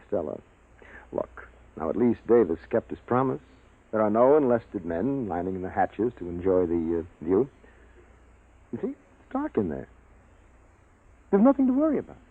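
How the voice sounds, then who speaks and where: A man's voice: fairly low pitch, huge pitch swings, measured pace, long pauses, breathy edge, no echo, heavily acted. An actor playing an analytical male science officer in a 1950s radio drama, explaining things matter-of-factly. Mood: reassuring. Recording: dry, tinny vintage radio recording.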